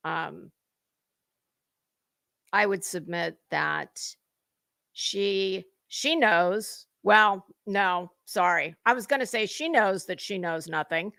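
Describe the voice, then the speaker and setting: A woman hosting casual, opinionated gossip commentary, rambling and halting, with emphatic points and some mild swearing. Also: mid-range voice, creaky, pushed and bright, no room sound, studio quality. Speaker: host of a gossip commentary livestream.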